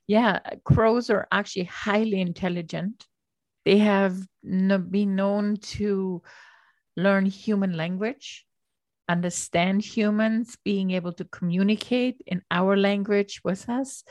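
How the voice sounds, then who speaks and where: A woman's voice: medium pitch, steady tone, a little slow, darkish tone, no room sound. An older woman from Austria hosting a podcast interview, who speaks in an earnest, thoughtful and enthusiastic way. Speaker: podcast host. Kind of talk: casual interview conversation.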